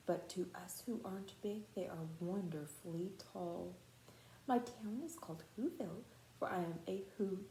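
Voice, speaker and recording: A woman voicing a tiny, polite and grateful storybook mayor in a small, faint, gentle voice. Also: medium pitch, reverberant, slight background noise, rough recording. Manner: gentle, courteous.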